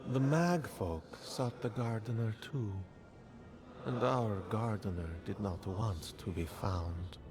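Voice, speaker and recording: A wary ancient male spirit from a fantasy game speaks formally and gravely. His voice is fairly low and very slow, with clear music behind him. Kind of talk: scripted dramatic game dialogue. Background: clear background music.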